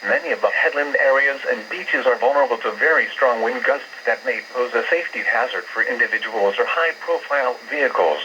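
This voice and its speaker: A computerized American man's voice delivers formal alert bulletins with a bright, forceful edge. The delivery is measured and impersonal, like scripted announcing. It comes over weather radio with a muffled, lo-fi band and faint hiss behind it. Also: medium pitch, animated. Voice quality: synthetic text-to-speech voice. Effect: weather radio broadcast. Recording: narrowband hissy weather radio reception.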